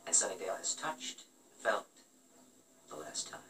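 Low, sharp, sardonic principal. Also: male voice, big pitch swings, moderate pace, heavily acted. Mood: menacing. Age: middle-aged. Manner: clipped, menacing, condescending. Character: stern, sardonic authoritarian male school principal. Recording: TV show playback, somewhat distant.

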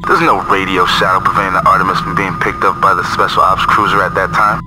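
An actor playing a slangy, chummy hacker informant over the radio in a video game. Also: male voice, deep voice, quick pace. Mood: chummy, sly. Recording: noisy radio transmission.

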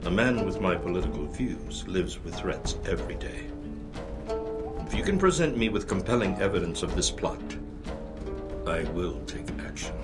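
An actor playing a cautious, dignified older president in a video game, speaking formally and deliberately. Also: male voice, low voice, very animated. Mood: grave. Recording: music behind.

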